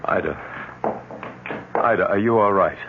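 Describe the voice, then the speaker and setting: An actor playing a protective, skeptical family physician in an old-time radio drama, speaking in a measured, concerned way. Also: male voice, sits low, creaky. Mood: gentle concern. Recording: noisy, tinny vintage radio recording.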